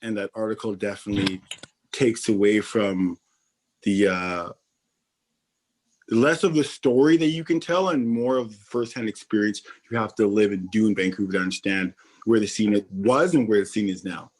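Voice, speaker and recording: A man's voice: lowish voice, normal speed. A man on a roundtable panel speaking earnestly and reflectively. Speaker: roundtable panelist, collective co-founder. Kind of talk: casual discussion.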